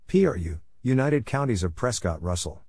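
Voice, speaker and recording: A man's voice: fairly low pitch, very animated, moderate pace, vocal fry, darkish tone, breathy edge, no room sound, clean studio sound. A man giving a scripted instructional read-out, spelling letter by letter and speaking in short, isolated phrases. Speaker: Morse code practice instructor. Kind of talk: scripted read-out of spelled codes and place names.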